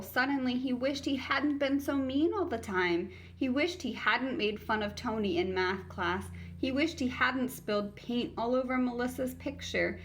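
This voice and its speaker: A woman's voice: on the high side, a bit bright, a little hiss or hum. A woman reading a children's story aloud as a teacher would, narrating expressively for young listeners.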